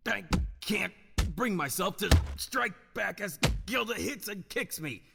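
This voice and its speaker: Man acting a melodramatic noir detective, swinging pitch. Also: lively intonation, unhurried, gravelly, over loud music, exaggerated voice. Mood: pained, strained. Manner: melodramatic, self-narrating. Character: earnest, anguished hard-boiled male detective narrating his own life. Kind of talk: noir detective audio drama with first-person narration. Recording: hall-like long reverb.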